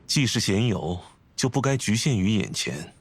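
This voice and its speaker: A man voicing a refined, composed, scholarly gentleman in a video game, speaking formally and courteously with measured phrasing. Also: on the low side, very animated, very noisy. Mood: serene. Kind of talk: video game character acting.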